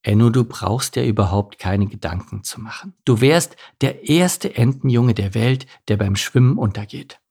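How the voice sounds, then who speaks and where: A man voicing a calm, reassuring father duck in a storybook, with a soothing manner. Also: medium pitch, normal speed, hardly any pauses, husky. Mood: reasoning. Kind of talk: storybook character voice.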